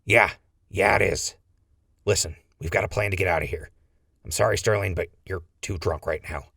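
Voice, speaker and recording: A guy as a husky low-voiced ghoul crew leader, wry schemer, audio drama. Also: moderate pace, bright, forceful tone, no echo, studio quality, exaggerated voice. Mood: exasperated. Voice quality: gravelly. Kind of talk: post-apocalyptic audio drama acting.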